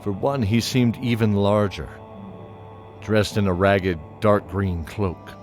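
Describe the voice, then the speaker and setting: A man narrating a fantasy audio drama from a script in a measured storytelling manner. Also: lowish voice, darkish tone. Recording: music playing behind.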